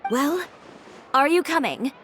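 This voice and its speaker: An actress playing a cool, blunt shop owner who bends the rules in a video game, speaking in a clipped, deadpan way. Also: female voice, high-pitched, slow, a little hiss or hum, full character voice. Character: cool, blunt female boutique owner with a rule-bending streak. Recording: game music underneath.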